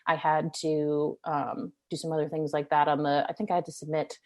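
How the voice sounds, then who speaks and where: A woman's voice: low-pitched, little pitch movement, normal speed, a little mellow. A young woman, a singer-songwriter, chatting casually in an interview, rambling with many fillers.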